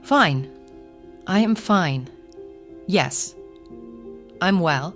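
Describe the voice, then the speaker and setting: A woman's voice: on the low side, animated, drawn-out words, faint music behind. A woman playing an earnest, guarded young woman in an audio drama, pleading in formal old-fashioned phrasing. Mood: apologetic, guarded.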